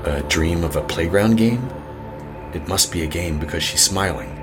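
A man narrating a scripted horror story in a measured, suspenseful manner. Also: low-pitched, over music.

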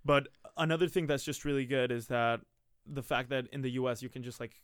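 Smooth-voiced young man. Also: mid-range voice, fairly even intonation, fairly quick, no room sound, studio quality. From Colombia. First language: Spanish. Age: young adult. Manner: chatty, many "like" fillers. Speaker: guest. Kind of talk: casual interview chat.

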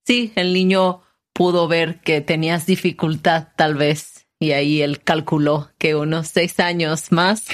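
This is a woman with a slightly low voice. She talks slowly in a casual conversational style, as an interviewer does. She explains things and often checks in with short tag questions. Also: flat delivery.

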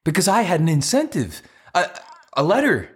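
An actor playing an earnest, persistent romantic man with a husky voice, sincere and pleading in a naturalistic audio drama style. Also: a little high, huge pitch swings, normal speed, exaggerated voice. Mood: earnest, gentle.

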